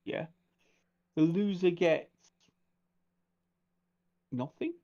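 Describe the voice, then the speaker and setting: A man's voice: on the high side, extremely slow, warm tone, highs cut off. A man playing a tabletop roleplaying game, thinking aloud and asking questions in casual discussion.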